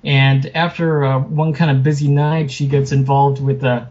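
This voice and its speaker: A man being interviewed as a guest author, chatting casually in a halting, self-deprecating way. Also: mid-range voice, level tone, darkish tone. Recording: muffled lo-fi sound with noticeable background noise.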